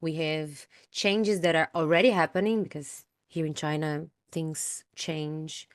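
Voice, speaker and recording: Portuguese-native woman, lower voice, dry sound. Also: measured pace, no echo. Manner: thoughtful, laughs lightly between points. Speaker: teacher, podcast guest. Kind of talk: casual interview conversation.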